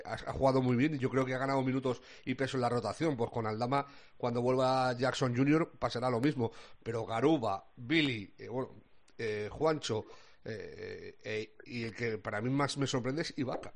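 Man, Spain accent, basketball commentator, mid-pitched voice, colloquial, halting delivery, thinking aloud. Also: darkish tone. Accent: Spanish from Spain. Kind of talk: casual sports discussion.

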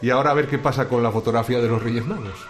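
A man's voice: mid-range voice, very fast, music in the background, natural voice. An actor from a radio drama playing a kindly, grumbling middle-aged night watchman, with colloquial Spanish from Spain and a muttering manner. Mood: grumbling, wary. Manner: colloquial, muttering to himself, exasperated. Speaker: night security guard.

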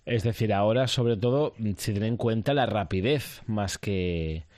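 A man's voice: fairly low pitch, measured pace, darkish tone, dry recording, clean studio sound. A man interviewing a guest on radio, asking short questions and giving frequent brief acknowledgements. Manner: brief prompts, frequent backchannel.

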